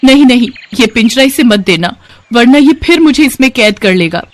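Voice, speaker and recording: A woman voicing a kind, grateful fairy, speaking in a gentle, reassuring and instructive way for a children's story. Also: fairly high pitch, expressive, moderate pace, no echo, pitched above the performer's own voice, lightly acted. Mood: pleading. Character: kind, grateful young female fairy granting wishes.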